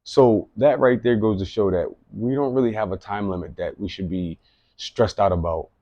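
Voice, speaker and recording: A man's voice: lowish voice, moderate pace, dark tone, no room sound, dull audio. A man in his late thirties giving an earnest, rambling personal monologue, often repeating himself. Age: late 30s. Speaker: vlogger.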